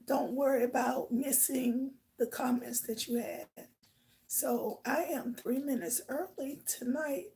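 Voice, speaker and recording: A woman hosting her own live talk show, chatting casually with viewers in a hoarse, raspy voice and rambling with lots of fillers. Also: a little high, warm tone, poor audio. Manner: rambling, filler-heavy storytelling. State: hoarse from shouting. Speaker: livestream host.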